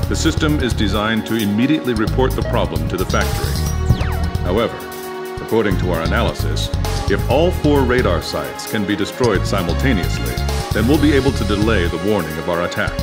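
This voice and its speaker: A male actor plays a sober military briefing officer in a video game. His fairly low voice is formal and measured, laid over loud music. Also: close to an everyday voice.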